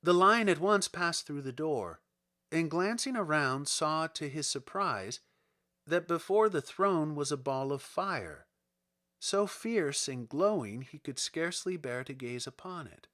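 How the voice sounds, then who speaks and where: A man reading a storybook aloud as narrator, in an expressive, deliberate manner. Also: a little high. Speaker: audiobook narrator.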